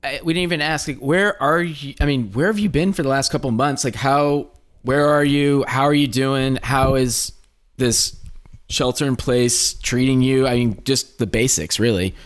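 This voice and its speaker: Informal American male podcast co-host, mid-pitched. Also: normal speed. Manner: informal, sweary. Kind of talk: casual chat, interviewing.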